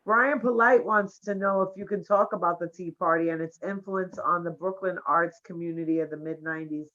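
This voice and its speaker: Livestream interviewer, informal, mid-range pitch, lo-fi video-call sound. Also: a little fast, pushed and bright. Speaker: host. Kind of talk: casual livestream hosting. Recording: dull lo-fi livestream audio.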